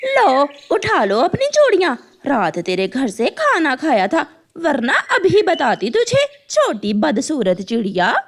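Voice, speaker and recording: A woman's voice: high voice, wildly swooping pitch, slow pace, few pauses, heavily acted. A woman voicing a rude, sulky young female bird with a quarrelsome, whiny manner in a cartoon. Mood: bossy angry.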